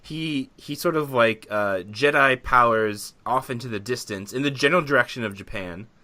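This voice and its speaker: A man co-hosting a podcast, chatting casually and joking with animated incredulity. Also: medium pitch, moderate pace. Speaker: podcast co-host. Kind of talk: casual comedic film chat.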